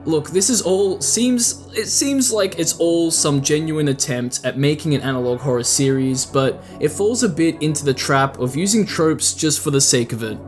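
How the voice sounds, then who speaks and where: A man hosting a video essay, giving casual, opinionated commentary with a wry streak and frequent rhetorical questions. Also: fairly high pitch. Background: background music.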